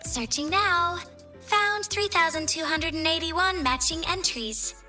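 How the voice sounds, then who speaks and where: Female game AI assistant, formal, helpful, dutiful, high voice, crisp bright tone. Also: measured pace, soft background music, heavily acted. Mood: polite, helpful. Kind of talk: video game voice acting.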